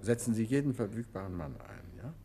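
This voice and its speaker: An actor playing a confident, jovial gentleman detective in a 1950s radio play, brisk and cheerful with his wife, guarded with the police. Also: fairly low pitch, vocal fry. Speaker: detective, husband.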